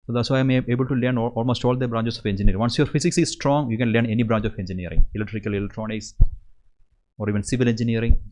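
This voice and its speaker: A young man with a Malayali Indian English accent talks to his viewers in a relaxed livestream. He is earnest and speaks in an everyday middle pitch. He often repeats a word or starts a phrase over before going on. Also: very fast, a little hiss or hum. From Kerala, India. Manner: earnest, repeats words and restarts. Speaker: host of an engineering channel. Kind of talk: casual livestream Q&A.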